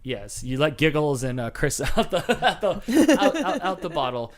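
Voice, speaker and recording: A man's voice: a little high, very animated, moderate pace. A man hosting a casual call-in trivia show, joking and laughing often. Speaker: show host.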